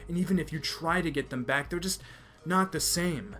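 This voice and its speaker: A man's voice: on the high side, moderate pace, a bit bright, soft background music. A young man from America hosting an anime review video, emphatic and opinionated, often repeating his points. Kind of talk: enthusiastic review commentary.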